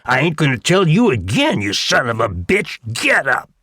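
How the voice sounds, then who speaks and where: Man acting hot-tempered, loudmouthed cowboy, sharp and forceful. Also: mid-range voice, huge pitch swings, moderate pace, husky, dry recording, clean studio sound, pitched above the performer's own voice, full character voice. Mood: furious, threatening. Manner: yelling, rustic folksy cowboy speech. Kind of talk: audiobook character acting.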